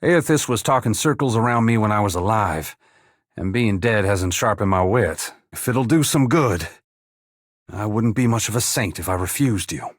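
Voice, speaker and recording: An actor playing a humble, weary dead farmer-saint in a fantasy video game, plain-spoken yet solemn, with a gravelly, husky voice. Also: male voice, on the low side, animated, moderate pace, no echo, studio quality. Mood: wry, humble. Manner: plain-spoken rustic phrasing mixed with solemn archaic oratory. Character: humble dead farmer-saint, once god-touched, wry, earnest and weary. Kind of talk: dramatic fantasy game dialogue.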